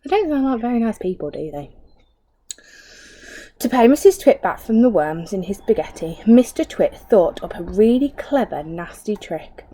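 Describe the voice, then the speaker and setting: A woman's voice: medium pitch, very animated, moderate pace, warm tone. A woman reading a children's story aloud like a teacher, expressively, with asides and questions to young listeners.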